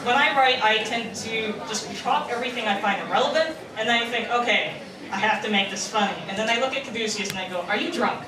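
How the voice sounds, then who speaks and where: A woman's voice: a little high, fast. Woman talking casually at a panel, roomy echo, lots of background noise. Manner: conversational, acts out quoted remarks. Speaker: convention panelist, fan-dub writer and editor. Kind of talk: informal panel talk. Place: convention panel room. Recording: distant, echoey, noisy convention room recording.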